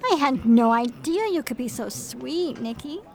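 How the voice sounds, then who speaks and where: A woman playing a calm, earnest female android partner in an audio drama, curious about humans, speaking in a measured way. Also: high voice, slight background noise. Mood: gentle, curious.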